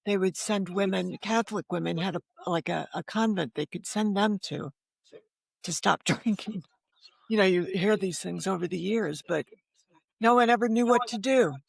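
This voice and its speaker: A woman's voice: medium pitch, normal speed, creaky, no room sound. An American woman in her seventies chatting in a casual interview, anecdotal and emphatic in how she talks. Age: 70s. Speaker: podcast guest, novelist.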